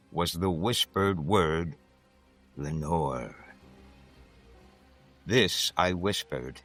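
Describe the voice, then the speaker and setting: A man giving a measured, theatrical reading of Gothic poetry, speaking deliberately. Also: low-pitched, a bit bright.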